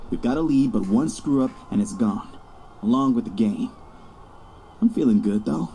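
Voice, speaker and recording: A man's voice: mid-range voice, animated, moderate pace, crowd noise, close to an everyday voice, rough recording. An actor playing a young star quarterback, cocky yet anxious, narrating his own story in an audio drama. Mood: confident, charged.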